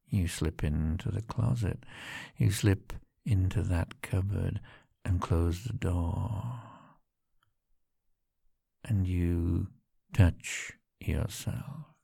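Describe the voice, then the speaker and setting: A man speaking softly and teasingly to the listener in an intimate monologue, often dropping to a whisper and chuckling between phrases. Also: sits low, steady tone, very slow, creaky, long pauses, slightly rough, dry recording, studio quality.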